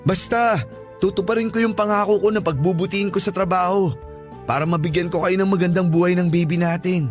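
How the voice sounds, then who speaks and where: A man acts a young Filipino husband and father in a radio drama. His voice is high for a man, earnest and emotive, with faint music behind. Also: fairly even intonation, a little fast, natural voice. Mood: earnest, tender.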